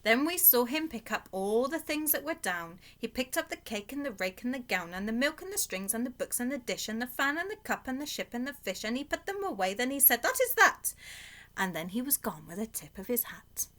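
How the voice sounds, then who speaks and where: A woman's voice: a little high, very animated, fairly quick, few pauses, a bit bright. A woman in her thirties reading a children's story aloud expressively, with emphatic, rhythmic delivery. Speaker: storybook reader. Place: small bedroom, indoors. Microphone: webcam or laptop at arm's length.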